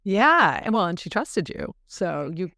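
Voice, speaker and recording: A woman with a cold and a deep, throaty voice, chatting casually as a podcast co-host and mother. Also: big pitch swings, unhurried, pushed and bright, no room sound, studio quality. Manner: chatty, rambling, filler-heavy. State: husky from a cold. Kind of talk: casual chat.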